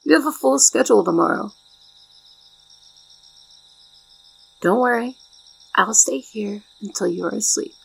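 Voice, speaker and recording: A shy young maid played by a woman in an ASMR roleplay, devoted and deferential. Her gentle voice is breathy and heavily creaky, with formal, careful wording. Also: mid-range voice, animated, moderate pace, exaggerated voice, rough recording. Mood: tender, soothing.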